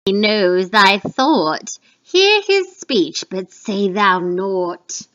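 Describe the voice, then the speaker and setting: A woman's voice: medium pitch, big pitch swings, drawn-out words, a bit bright, pitched below the performer's own voice, heavily acted. A woman voicing eerie, commanding witches and prophetic apparitions in a Shakespeare dramatic reading, with emphatic, incantatory delivery. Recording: dull lo-fi home recording.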